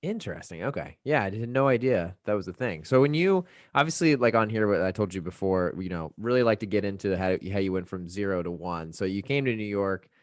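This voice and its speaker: A man's voice: lowish voice, animated, a little fast, few pauses, no room sound, clean studio sound. A young man hosting a podcast, greeting his guest and asking questions in an upbeat, casual way. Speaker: podcast host. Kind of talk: podcast interview.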